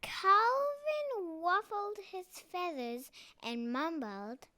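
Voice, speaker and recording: High-voiced child reading a storybook slowly. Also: animated, pushed and bright, dry recording. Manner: slow, careful, phrase-by-phrase reading with pauses. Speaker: storybook reader. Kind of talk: picture book read-aloud.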